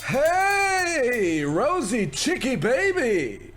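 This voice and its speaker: A man's voice: extremely high pitch, wildly swooping pitch, fairly bright tone, faint music behind, heavily acted. A man playing a slick, pushy, glib producer who sweet-talks like a salesman in an old-time radio comedy. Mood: boastful, excited. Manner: fast-patter salesman, gushing pet names.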